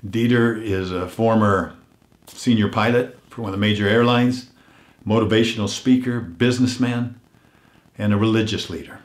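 An older man hosting a weekly motivational message, earnest and unhurried, his voice a little hoarse as if he is losing it, often dropping to a hush on heartfelt points. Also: lowish voice, steady tone, roomy sound. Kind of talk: reflective motivational talk.

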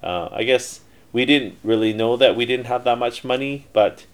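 A man's voice: mid-range voice, normal speed. A middle-aged man telling his life story in a casual interview, rambling with many fillers and restarts. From Canada. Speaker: interview guest, entrepreneur.

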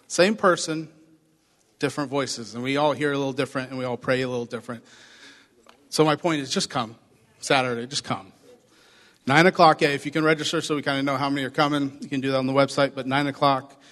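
A man with a hoarse voice, pastoring a church, giving chatty announcements and a short sermon to his congregation. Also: a little high, a bit bright. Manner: upbeat, chatty, addresses congregation directly. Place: church sanctuary. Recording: long reverb of a church hall.

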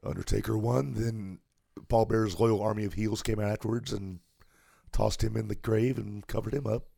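A hoarse man with a deeply gravelly, low, dark voice, co-hosting a laid-back wrestling chat. He reads through a list of anniversaries in an easygoing way. The sound is dry and close. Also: normal speed, dry recording. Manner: relaxed, reads off a list of dates. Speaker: podcast co-host.

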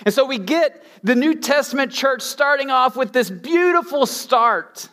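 A man preaching a sermon in a lively, storytelling way. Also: very high voice, measured pace.

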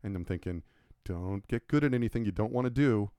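A man's voice: on the low side, animated, brisk, mellow, clean tone, no room sound, clean studio sound. A man co-hosting a casual podcast chat, rambling and self-mocking as he tells stories. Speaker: podcast co-host and logo designer.

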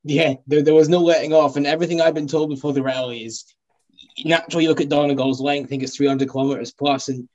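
A man's voice: on the high side, steady tone, quick pace, darkish tone, muffled recording. A Scottish man guesting on a podcast, enthusiastic and self-deprecating. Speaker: guest co-host, rally journalist. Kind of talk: casual podcast chat.

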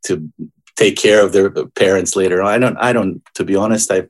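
A deep-voiced man in his forties, originally from Brazil, talks through his answers as a podcast guest. He thinks aloud, restarting sentences often. His tone stays low and steady. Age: 40s. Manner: thoughtful, halting, with many restarts. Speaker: guest composer. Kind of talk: casual interview conversation.

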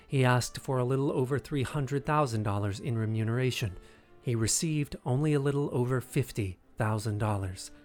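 A man narrating scripted history as a measured, dramatic storyteller. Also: medium pitch, a little mellow. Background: music.

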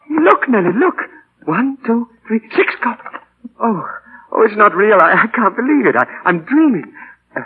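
An actor playing an earnest, ambitious young English writer from the slums, with theatrical, emotional 1940s radio delivery. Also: male voice, sits high, husky, narrow, tinny audio, heavily acted. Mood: excited, overjoyed. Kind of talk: 1940s radio drama acting. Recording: band-limited old 1940 radio broadcast with music.

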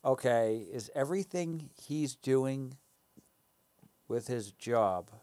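A man co-hosting a relaxed faith podcast, mid-pitched and conversational. He stops and restarts his sentences as he thinks aloud. The recording is close and dry. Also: no echo. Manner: halting, restarts phrases, playful. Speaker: podcast co-host. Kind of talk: casual faith discussion.

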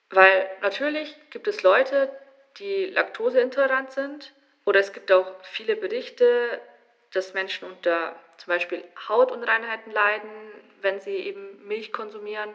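A woman's voice: mid-range voice, fairly bright tone. A woman giving a casual, balanced explanation as an interviewed expert over a phone call.

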